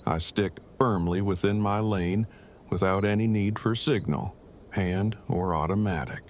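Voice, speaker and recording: A man's voice: low voice, a little slow, a little hiss or hum. A man playing an awkward, self-protective survivor with stilted, formal, wordy speech in an audio drama. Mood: defensive, dry. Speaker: voice actor.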